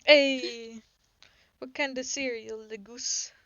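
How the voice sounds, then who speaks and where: A woman's voice: on the high side, fairly even intonation, slow, a bit bright. A woman art streamer chatting casually in short remarks while drawing, recorded on a dull, lo-fi microphone.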